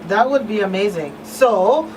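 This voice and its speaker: A woman's voice: medium pitch, lively intonation, a little slow. Woman hosting, slightly off mic. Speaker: talk show host. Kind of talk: informal talk show conversation. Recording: slightly distant mic, faint noise.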